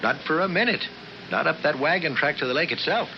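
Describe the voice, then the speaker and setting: An actor playing a folksy, cautious country grocer with a rural American accent in a 1970s radio drama. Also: male voice, a little high, expressive, quick pace. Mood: doubtful, cautioning. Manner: folksy, drawling backwoods phrasing. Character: folksy country grocer, cautious but obliging old-timer. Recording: dull, noisy 1970s radio recording.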